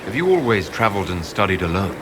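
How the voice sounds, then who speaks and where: Mage protagonist, very low pitch, guarded, direct questioning, video game dialogue, noticeable background noise. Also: male voice, big pitch swings, fast talker. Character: guarded, probing male mage hero questioning a companion. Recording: clear ambient noise behind game dialogue.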